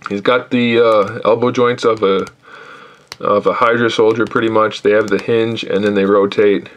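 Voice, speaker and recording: A man reviewing an action figure in a casual, critical way, sounding tired and a little hoarse from losing his voice. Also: lowish voice. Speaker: toy reviewer. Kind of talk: casual product review, off-camera voice.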